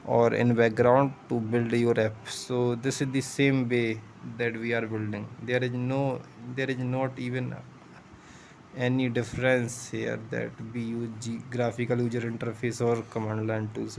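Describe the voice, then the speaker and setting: A man talks through a programming tutorial in an even, level tone. He goes at an unhurried pace, explaining each step patiently and thinking out loud. English is not his first language. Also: mid-range voice, gravelly, slight background noise, low-quality recording. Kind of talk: screen-share coding tutorial.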